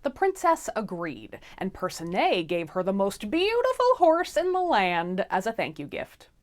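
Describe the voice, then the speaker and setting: A woman's voice: medium pitch, huge pitch swings, normal speed. A woman storyteller narrating a fairy tale in a casual, irreverent, theatrical comic style.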